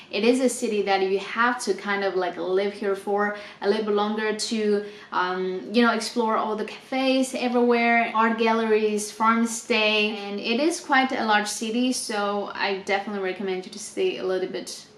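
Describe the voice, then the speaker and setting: A woman's voice: mid-range voice, steady tone, normal speed. Woman, 20s, native Chinese speaker from China, easygoing vlog Q&A in a roomy space. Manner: chatty, reads questions aloud then answers with laughs. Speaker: travel vlogger. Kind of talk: casual unscripted Q&A.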